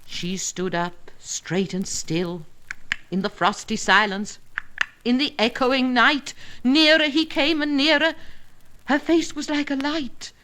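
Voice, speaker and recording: A woman reading narrative poetry aloud in a dramatic, measured and expressive manner, with deliberate pauses and emphasis. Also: high voice, a little hiss or hum. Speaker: poetry reader.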